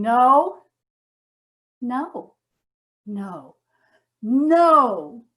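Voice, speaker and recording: Woman acting a high, swooping, wonder-struck yoga student. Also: a bit bright, full character voice. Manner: dramatic, slow with emphatic pauses. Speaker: amateur stage actor. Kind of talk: theatrical comic monologue.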